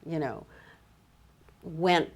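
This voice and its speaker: A woman moderating a literary discussion as a fellow writer, musing in a halting, conversational way with frequent asides. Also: sits low, slightly rough.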